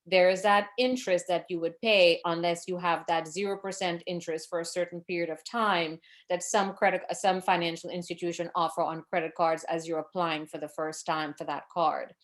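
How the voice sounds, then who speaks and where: A woman from Trinidad in her forties, presenting a financial education webinar over a video call, earnest and advising, with fillers and self-corrections. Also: fairly low pitch.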